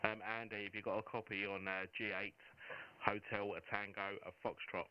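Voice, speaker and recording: A man hosting amateur radio contacts in a relaxed, friendly way. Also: lowish voice, moderate pace. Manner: relaxed, friendly, says "mate" often. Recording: muffled audio with faint noise.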